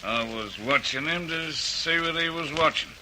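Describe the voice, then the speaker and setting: An actor playing a slightly tipsy, stubborn witness who rambles and repeats himself in a radio drama. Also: male voice, fairly high pitch, pushed and bright, a little hiss or hum. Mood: boozy, indignant. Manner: rambling, repetitive, halting drunken talk. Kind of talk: old-fashioned radio drama acting.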